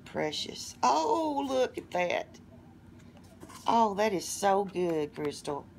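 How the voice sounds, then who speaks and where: Hoarse middle-aged lady unboxing gifts at home, slow and gushy, bit of background hiss. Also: mid-range voice, very animated. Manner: gushing, softly delighted exclamations. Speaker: vlogger opening gifts. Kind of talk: casual unboxing chat. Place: living room at home.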